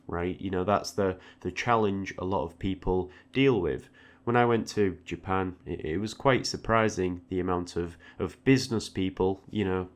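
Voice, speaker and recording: Guy w a deep low voice doing an informal audio log, kinda hesitant, repeats words. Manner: hesitant, often repeats words mid-sentence. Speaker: art tutorial creator, channel host.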